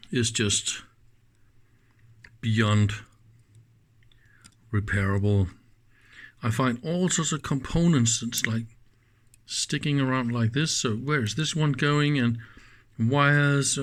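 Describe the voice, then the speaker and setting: A man giving casual, unscripted hands-on commentary as a teardown host, musing in a halting way and trailing off mid-thought. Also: mid-range voice. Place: indoors at a workbench.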